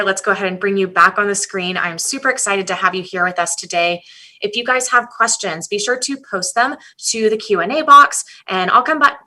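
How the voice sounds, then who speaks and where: A woman hosting an online webinar, cheerful and brisk as she introduces the guest over a video call. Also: medium pitch, bright, forceful tone. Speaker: webinar host. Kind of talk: upbeat scripted webinar intro.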